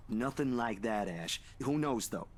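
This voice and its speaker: A woman's voice: very deep voice, expressive, unhurried, pitched below the performer's own voice, full character voice. A woman voicing a friendly, laid-back young man in a visual novel, with folksy phrasing. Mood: casual, persuasive. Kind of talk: visual novel character read-aloud. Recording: background music behind voice.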